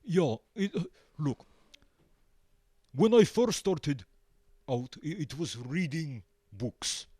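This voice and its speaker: Deadpan man, mellow and close. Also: fairly high pitch, slow pace, pauses often, breathy edge, no room sound. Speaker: band member, show guest. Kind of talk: comic in-character interview.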